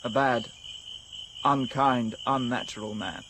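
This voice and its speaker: A man's voice: medium pitch, steady tone, background noise. An actor playing an earnest young man raised in a remote village, speaking solemnly in a radio drama. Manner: solemn, earnest, halting delivery. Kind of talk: BBC radio drama acting. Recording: insect and cricket ambience.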